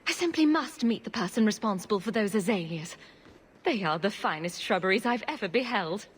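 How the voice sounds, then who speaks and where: A woman playing a diplomatic, polite noble heroine in a fantasy game, courteous and measured. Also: mid-range voice, expressive, husky. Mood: gushing pretence. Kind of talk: fantasy game dialogue acting.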